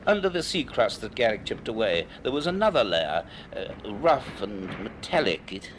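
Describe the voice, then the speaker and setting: An actor playing a curious, cautious university professor in a radio drama, speaking in measured, formal, sometimes hesitant phrases. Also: male voice, lowish voice, big pitch swings, a little hiss or hum. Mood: thoughtful unease. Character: curious, cautious male university professor narrating strange events. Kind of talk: radio drama acting and narration.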